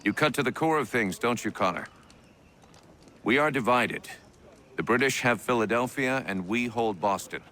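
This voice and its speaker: An actor playing a resolute older army commander in a video game, formal, measured and authoritative. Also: male voice, medium pitch, lively intonation, sharp tone, slight background noise. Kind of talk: game cutscene dialogue.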